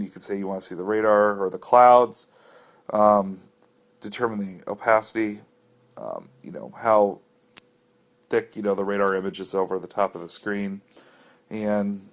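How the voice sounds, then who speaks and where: Low-voiced American man giving a tutorial. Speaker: app reviewer giving a walkthrough. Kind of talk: casual unscripted tutorial narration.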